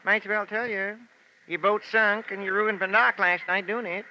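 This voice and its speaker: A man's voice: high-pitched, fairly even intonation, moderate pace, pushed and bright, muffled recording. An actor playing a grasping, cantankerous old island fisherman with a folksy, haggling way of talking, in vintage radio drama. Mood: crotchety, dry. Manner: folksy, hesitant haggling, crotchety. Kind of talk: 1940s-50s American radio drama character acting. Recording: noisy, band-limited old radio recording.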